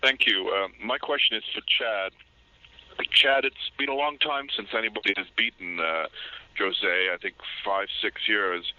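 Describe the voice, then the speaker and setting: A man's voice: fairly low pitch, measured pace, no echo. A man working as a reporter, asking questions on a press conference call over the telephone. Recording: dull, dry phone-line sound.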